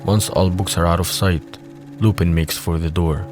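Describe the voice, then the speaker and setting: A man's voice: deep voice, fairly even intonation, moderate pace, over music. A man reading scripted third-person narration for an audio drama.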